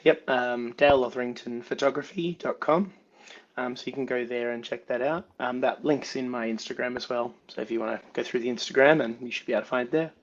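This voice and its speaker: A man's voice: mid-range voice, fast talker, highs cut off, a little hiss or hum. A man with an Australian accent, a guest photographer chatting casually on a podcast, a bit unwell, with an easygoing, filler-heavy manner. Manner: relaxed, filler-heavy, laughs often. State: unwell, slightly under the weather.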